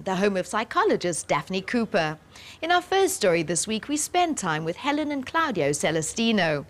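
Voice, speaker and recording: An upbeat woman presents a television episode in scripted, announcing style. Her pitch moves in lively swings through each line.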